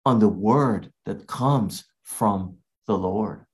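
A man from Guyana, an evangelist, preaching a sermon in a slow, deliberate way with emphatic rises. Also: on the low side, a little mellow.

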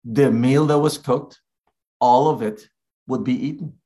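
A man from Guyana, an evangelist, preaching a sermon in a slow, deliberate way with emphatic rises. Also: mid-range voice, dark tone.

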